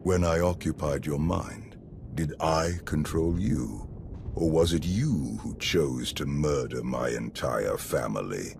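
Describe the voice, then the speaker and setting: Abyssal, gravelly evil emperor. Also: male voice, slow pace, exaggerated voice. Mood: mocking. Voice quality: deep, gravelly. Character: ancient evil male emperor spirit, arrogant and mocking. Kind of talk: dramatic video game acting. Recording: clear noise behind the voice.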